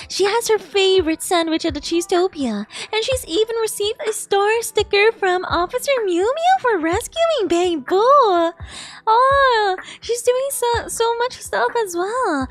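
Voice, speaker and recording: A woman game streamer giving live reaction commentary in a gushing, exclamatory, emotionally invested way. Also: extremely high pitch. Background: game music.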